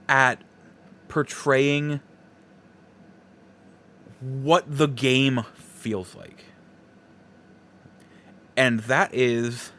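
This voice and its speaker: A man hosting a podcast, giving casual solo opinions in halting, broken phrases with emphatic stresses. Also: medium pitch, fairly bright tone. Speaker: podcast host.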